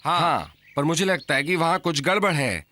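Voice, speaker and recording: Animated high-pitched fretful cartoon man. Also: normal speed. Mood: worried. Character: stern, protective, fretful male cartoon adventurer. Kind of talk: Hindi cartoon dub acting.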